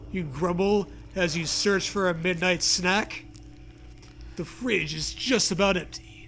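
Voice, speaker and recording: Male co-reader for comedy: high-pitched, bright, measured, playful, with faint background noise. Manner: playful, dramatizing the narration. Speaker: co-host reading aloud a choose-your-own-adventure parody. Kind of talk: comic read-aloud with casual banter.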